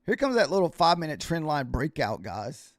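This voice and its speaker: A man hosting a casual live trading stream, thinking aloud in an informal, halting way, his voice getting a little hoarse. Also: a little high, very animated, no room sound. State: voice starting to get hoarse. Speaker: livestreaming futures day trader and host. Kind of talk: casual live trading commentary, answering viewers.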